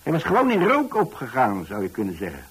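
A man's voice: medium pitch, wildly swooping pitch, quick pace, slightly rough, full character voice. An actor playing an older, plain-spoken pensioner in a radio drama, telling his story in a hesitant, repetitive, folksy way. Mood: wistful, puzzled. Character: rambling, plain-spoken old pensioner who takes in lodgers. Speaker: narrator of a radio play.

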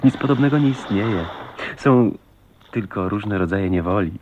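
Animated, adoring, teasing husband. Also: male voice, lowish voice, a little slow, lo-fi sound. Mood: wry, teasing. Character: adoring, teasing, philosophical husband living in the woods. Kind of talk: Polish radio drama acting. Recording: radio play with faint noise, ambience.

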